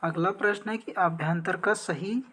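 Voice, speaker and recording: A woman's voice: fairly low pitch, normal speed, fairly bright tone, husky. A woman teacher explaining practice exam questions step by step, often asking a question and then answering it herself. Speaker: teacher, exam coaching instructor.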